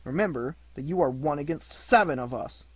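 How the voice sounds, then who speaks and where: Bully schoolboy, loud and taunting, played by the narrator. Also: medium pitch, lively intonation, a little fast, slightly rough, no echo, poor audio.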